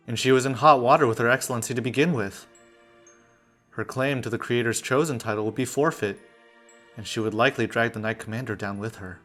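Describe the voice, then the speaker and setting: A man narrates a story with drama, reading from a script. His voice sits at an average pitch for a man. Music plays faintly behind him. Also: a bit bright.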